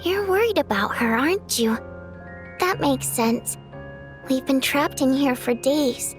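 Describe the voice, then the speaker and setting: An actress playing a gentle, thoughtful young woman in a video game, speaking softly, wistfully and with care. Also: sits high, animated, a little slow, faint music behind. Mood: caring. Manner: soft, wistful, caring.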